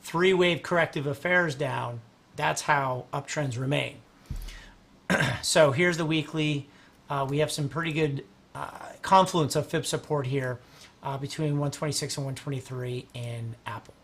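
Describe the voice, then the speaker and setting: A man's voice: on the high side, steady tone, a little slow, fairly bright tone. A man hosting a livestream, walking through stock charts in casual, rambling talk while losing his voice. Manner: rambling, restarts, clears throat often. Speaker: host and market analyst.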